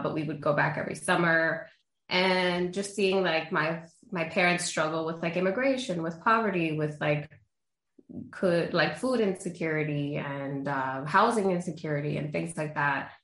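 A young woman from Honduras whose first language is Spanish, a therapist telling her story candidly in a casual interview. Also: sits low. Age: young adult. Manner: candid, lively storytelling with frequent fillers. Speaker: therapist guest. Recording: roomy echo.

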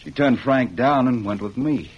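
An actor playing a wronged young cowboy ex-convict in a vintage radio western, speaking plainly and earnestly. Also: male voice, mid-range voice, moderate pace, slightly rough, natural voice. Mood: bitter, earnest. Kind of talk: 1940s-50s radio western acting. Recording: muffled, hissy old radio recording.

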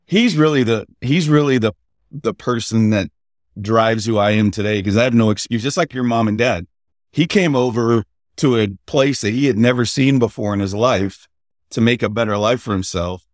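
A middle-aged man, a podcast guest, telling family stories in a halting, conversational way without a regional accent. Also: medium pitch, no echo, studio quality. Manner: halting, with many fillers and restarts. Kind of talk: casual interview storytelling.

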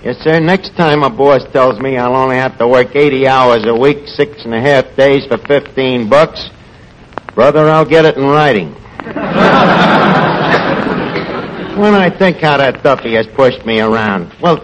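A man's voice: medium pitch, unhurried, a little hiss or hum, close to an everyday voice, poor audio. An actor playing a blustering, self-important male tavern manager in a 1940s radio comedy, pompous and wisecracking with mangled grammar. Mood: grumbling.

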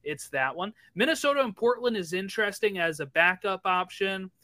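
Bright, forceful male podcast co-host, close and dry; casual soccer chat, runs through fixture lists briskly. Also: high voice, expressive, dry recording. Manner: rattles off fixture lists, chatty.

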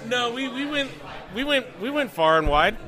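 A man's voice: very high voice, animated, normal speed, pushed and bright. A man chatting casually on a podcast, describing things in a loose conversational way. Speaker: podcast co-host. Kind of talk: casual chat, describing video games. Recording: busy crowd noise around the voice.

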